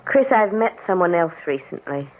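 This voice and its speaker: An actress playing a gentle, earnest and reluctant sweetheart in an old-time radio thriller. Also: female voice, fairly low pitch, animated, normal speed, natural voice. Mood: uneasy, reluctant. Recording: tinny old radio recording.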